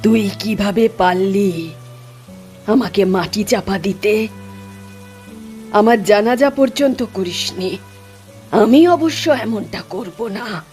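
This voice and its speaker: A woman voicing the vengeful ghost of a wronged sister in a cartoon, with drawn-out, accusing, theatrical delivery. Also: mid-range voice, a little mellow, faint music behind, lightly acted. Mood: menacing.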